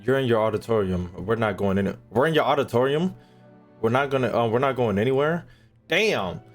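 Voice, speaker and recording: A man hosting a game playthrough, reacting in casual, slangy, profane speech and low-key interjections. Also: animated, a little fast. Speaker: gaming video host. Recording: game music behind the voice.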